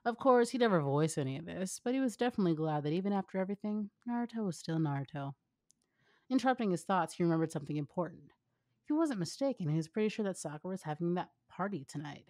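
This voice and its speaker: A woman's voice: on the low side, expressive, quick pace, warm tone, dry recording, clean studio sound. A young adult woman casually narrating fan fiction with banter, in a giggly, informal manner. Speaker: fan fiction reader and writer.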